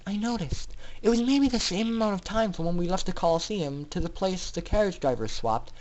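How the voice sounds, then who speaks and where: A man voicing a thoughtful young female pony foal in a story reading, quiet and hesitant as if musing. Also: sits high, animated, brisk, voice pushed up, rough recording. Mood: hesitant, pondering. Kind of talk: dramatic fan fiction reading.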